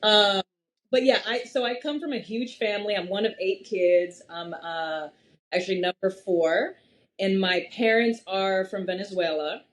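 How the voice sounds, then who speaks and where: A young woman from Massachusetts, a podcast guest, talking at length in an animated, storytelling way. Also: mid-range voice, measured pace. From Massachusetts, USA. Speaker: podcast guest, wealth strategist. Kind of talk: casual interview chat.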